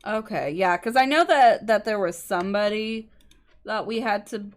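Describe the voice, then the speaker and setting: A woman's voice: mid-range voice, moderate pace. A woman livestreamer chatting casually with her co-host and viewers, explaining things politely. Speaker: game livestreamer. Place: indoor streaming setup at a computer.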